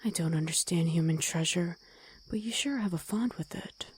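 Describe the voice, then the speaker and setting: A woman voicing a gruff, protective wolf girl who talks bluntly in an ASMR roleplay drama. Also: lowish voice, moderate pace, a little hiss or hum, close to an everyday voice. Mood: gruffly caring. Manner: blunt, commanding, gruffly teasing.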